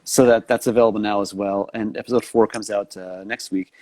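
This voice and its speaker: A man hosts an online talk show with a low voice and a dry, close sound. He is enthusiastic and doubles back on his words as he talks. His audio comes through a video call. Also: fairly even intonation, fairly quick, dark tone, dry recording. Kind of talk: casual interview chat.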